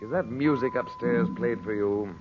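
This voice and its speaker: An actor playing a cool, defiant captive magician in a 1940s radio serial, measured, wry and taunting. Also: male voice, mid-range voice, lively intonation, close to an everyday voice. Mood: wry, calm. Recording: muffled old radio recording, music behind.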